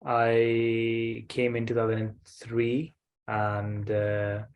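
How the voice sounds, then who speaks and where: Man from Pakistan, flat even delivery, soft halting guest on a video call. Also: mid-range voice, phone-quality sound, slight background noise. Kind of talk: casual chat on a video call.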